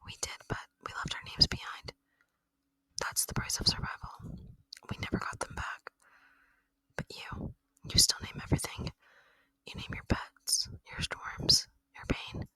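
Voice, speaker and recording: An ASMR performer voicing a gentle, lonely ancient alien in a breathy whisper, speaking tenderly and reflectively in a roleplay. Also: dry recording, rough recording. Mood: solemn, confiding.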